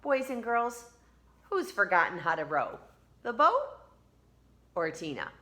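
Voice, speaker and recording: A woman teacher reading a storybook aloud to children, expressively, pausing to put questions to them. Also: medium pitch. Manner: expressive, questioning the young listeners.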